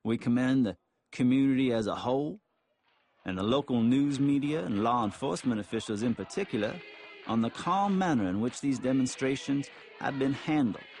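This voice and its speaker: A man reading a formal written statement aloud in measured, deliberate phrases. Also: mid-range voice, animated, a little mellow, husky. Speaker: reader.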